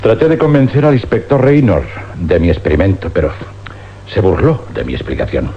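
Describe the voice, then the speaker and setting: Older male scientist, Spain accent. Grave radio-drama delivery with wide swings and pauses, noisy vintage sound. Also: low voice, expressive. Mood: guarded, weary. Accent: Spanish, from Spain. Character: weary older scientist confessing an uncanny experiment. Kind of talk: suspense radio drama acting. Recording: dull, noisy old radio recording with hum.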